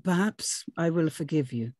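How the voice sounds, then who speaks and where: A woman's voice: sits low, normal speed, a little mellow, no echo, clean studio sound. A woman reading the part of a stern, teasing male Haitian teacher, pointed and formal, at a script table read. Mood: sardonic, forgiving.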